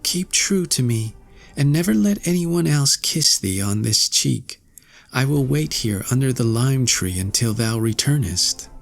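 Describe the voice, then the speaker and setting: A gentle young fairy-tale maiden, voiced by a man, speaks in a raised, tender pitch. The delivery is plaintive and faithful, with old-fashioned wording. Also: expressive, unhurried, gravelly, faint music behind. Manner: tender, plaintive, archaic phrasing.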